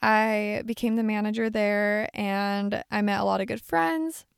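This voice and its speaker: A woman in her twenties hosting a podcast alone, chatting in an effusive, rambling way, her voice a bit raspy. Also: medium pitch, steady tone, unhurried, no echo, clean studio sound. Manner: chatty, effusive, lots of likes and little laughs. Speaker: podcast host. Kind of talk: casual solo podcast monologue.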